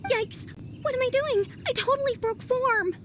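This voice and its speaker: A woman's voice: very high voice, moderate pace, heavy background noise. A woman playing a theatrical teenage girl adventurer who poses as a haughty princess with grandiose speech in an anime-style game. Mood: flustered. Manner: grandiose, archaic proclamations slipping into plain awkward talk. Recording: game music behind the voice.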